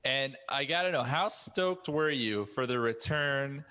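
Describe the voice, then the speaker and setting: Bright-toned male podcast moderator. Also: medium pitch, animated, unhurried, clear, resonant tone. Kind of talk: casual roundtable chat.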